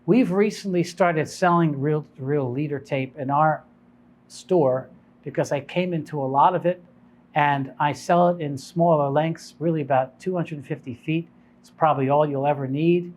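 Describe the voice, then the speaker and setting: A man's voice: on the high side, normal speed, darkish tone. A man speaking as a shop owner, explaining and advising in an informal, unscripted, conversational way. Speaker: shop owner presenting product advice.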